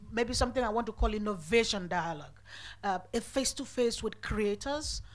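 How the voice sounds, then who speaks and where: Emphatic woman making conference remarks. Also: on the high side. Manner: emphatic, rhetorical. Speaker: conference speaker.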